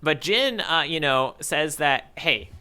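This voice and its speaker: A man co-hosts a casual recap podcast. His voice is very bright and sits a little higher than most men's. He talks in a chatty, enthusiastic, conversational way. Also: lively intonation, slow, clear, resonant tone. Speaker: podcast co-host. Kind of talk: casual chat recapping a manga chapter.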